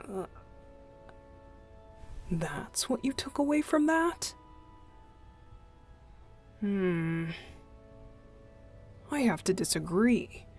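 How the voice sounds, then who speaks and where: A woman voicing a playful, mischievous young male deacon in an unhurried, teasing ASMR roleplay style. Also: fairly low pitch, full character voice. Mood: amused, teasing. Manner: teasing, unhurried, chuckling, breathy asides.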